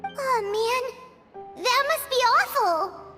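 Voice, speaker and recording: A woman voicing a tiny, excitable, childlike fairy companion in an anime game. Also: very high-pitched, very slow, exaggerated voice. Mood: concerned. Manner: refers to self in third person, exclaims eagerly. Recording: loud game music behind.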